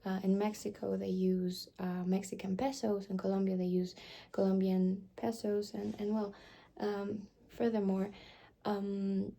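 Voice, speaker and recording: Slow, husky whispering woman. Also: medium pitch, little pitch movement. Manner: soft whispering, hesitant with many fillers. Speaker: ASMR creator. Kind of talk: whispered ASMR roleplay.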